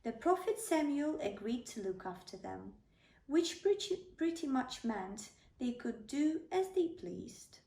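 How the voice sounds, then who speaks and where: A woman's voice: high voice, sing-song, unhurried, vocal fry, dark tone. A woman narrating a children's bedtime story, reading aloud as a storyteller. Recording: roomy, slightly distant microphone.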